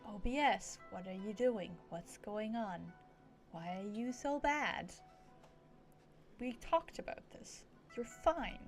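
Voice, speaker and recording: A woman game streamer with a fairly high voice. She comments casually and chattily, addressing the characters as if they could hear her. Game music is clearly audible behind her. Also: lively intonation, slow pace. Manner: chatty, talks back to the characters.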